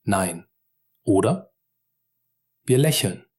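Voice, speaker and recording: A man's voice: lowish voice, expressive, drawn-out words, a little mellow, breathy edge. A man narrating a simple story for German learners, speaking directly and encouragingly to the listener.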